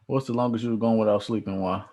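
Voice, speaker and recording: Low-voiced man asking quick questions. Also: steady tone, warm tone. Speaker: interviewer. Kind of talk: casual interview questions.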